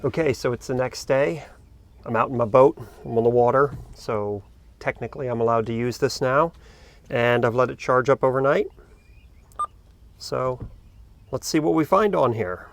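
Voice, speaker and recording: A man's voice: mid-range voice, moderate pace, creaky, long pauses. A man talking casually to camera as the host of a boating video. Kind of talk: casual vlog talk to camera. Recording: noisy outdoor background sound.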